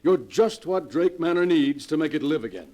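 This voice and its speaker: An actor playing a warm, courteous middle-aged father in a 1940s radio drama, speaking genteelly. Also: a little high, expressive, normal speed, lightly acted. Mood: welcoming. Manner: cordial, genteel.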